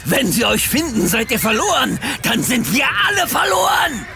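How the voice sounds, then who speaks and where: An actor playing an eccentric, urgent character from a magical otherworld in a fantasy audio drama, theatrical and gravelly. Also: male voice, very high voice, sing-song, moderate pace, raised voice, exaggerated voice. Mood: panicked warning. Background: music.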